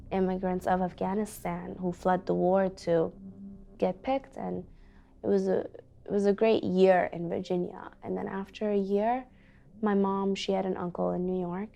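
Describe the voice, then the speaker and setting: A young woman who is a teacher, telling her family's story in an earnest, halting way with many fillers. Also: medium pitch, gravelly, dark tone. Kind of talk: personal storytelling interview. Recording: faint background music.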